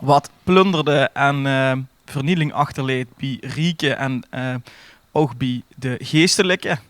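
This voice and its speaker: A man's voice: medium pitch, takes it slowly, a bit bright, no echo. A man with a Dutch Limburg accent, co-hosting a podcast with casual chat and informal storytelling. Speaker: podcast co-host.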